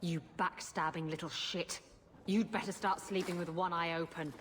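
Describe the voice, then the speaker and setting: An actress playing a brash, roguish pirate swindler in a video game, sassy and cheeky in manner. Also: female voice, on the low side, fairly even intonation, moderate pace, slight background noise, close to an everyday voice. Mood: indignant.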